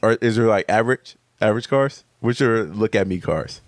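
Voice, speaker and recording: A man co-hosting a podcast, casual and animated, quick to laugh and pose questions. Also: on the low side, no room sound, clean studio sound. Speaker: podcast co-host. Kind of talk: casual chat.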